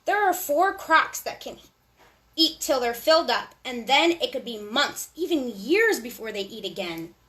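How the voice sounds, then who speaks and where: A woman storyteller reading a rhyming picture book aloud to young children in an animated, sing-song way. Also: sits high, unhurried.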